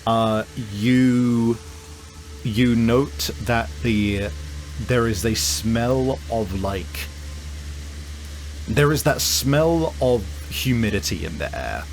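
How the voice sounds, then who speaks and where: A man's voice: medium pitch, extremely slow, slight background noise, low-quality recording. A man narrating a tabletop roleplaying game as game master, improvising and often searching for his words, with music behind him.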